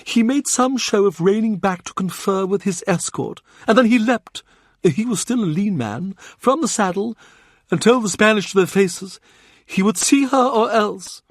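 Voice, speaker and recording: A man's voice: sits high, animated, normal speed, dry recording, clean studio sound, voice pushed up. A man voicing an urbane, shrewd older cardinal who reminisces in a genial, confiding way. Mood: animated. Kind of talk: audiobook character reading.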